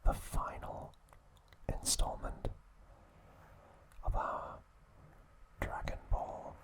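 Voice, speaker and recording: A man whispering an ASMR review at home, gentle and relaxed, with a soft unvoiced whisper. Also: very slow. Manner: gentle, relaxed, with occasional fillers. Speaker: ASMR channel host. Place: indoors at home.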